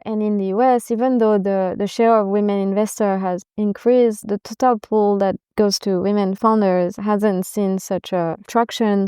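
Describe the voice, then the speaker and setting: A woman guest on an interview podcast, answering in a measured, earnest and warmly enthusiastic way. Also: medium pitch, smooth, no echo. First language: not Japanese. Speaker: podcast guest, venture investor.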